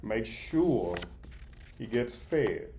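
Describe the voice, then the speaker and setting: A man's voice: medium pitch, big pitch swings, extremely slow, a little mellow, a little hiss or hum. A man reading the part of a loyal, brave male robot dog in a storybook, plain and reassuring. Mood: resolute.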